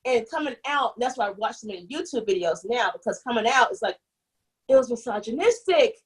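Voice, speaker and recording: Husky animated young woman storyteller. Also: a little high, brisk, poor audio. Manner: animated, restarts often, acts out quoted voices. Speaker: guest. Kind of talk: candid conversational storytelling.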